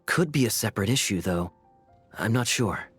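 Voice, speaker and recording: An actress voicing a serious, analytical young advisor in a video game, articulate and thoughtful. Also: female voice, extremely low pitch, animated, husky, exaggerated voice. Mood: grave, thoughtful. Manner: measured, thoughtful. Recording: background music under voice.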